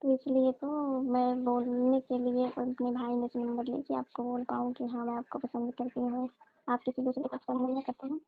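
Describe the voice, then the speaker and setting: High-pitched young woman on a phone. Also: completely flat delivery, normal speed, dry recording. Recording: tinny narrowband phone line.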